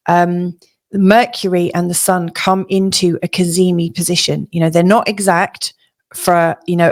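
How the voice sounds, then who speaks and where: A woman with a low, gravelly voice talks through a weekly astrology forecast. She rambles and reflects, leaning on fillers as she goes. Her voice sounds like it is going hoarse. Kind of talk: solo forecast talk to camera.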